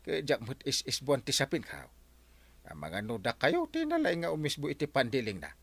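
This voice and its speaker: A man's voice: mid-range voice, wildly swooping pitch, a little fast, gravelly, husky, dry recording, full character voice. Man playing a blustering, wildly swooping Ilocano husband. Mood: exasperated. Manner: blustering, stammering exclamations. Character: grumbling, cheeky husband who shirks chores. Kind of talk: comic regional audio drama acting.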